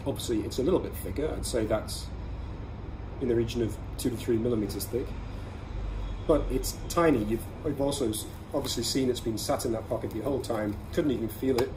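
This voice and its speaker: A man hosting a casual product review, explaining things conversationally in short, measured phrases. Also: mid-range voice. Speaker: podcast host reviewing everyday carry products. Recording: clearly audible ambient background noise.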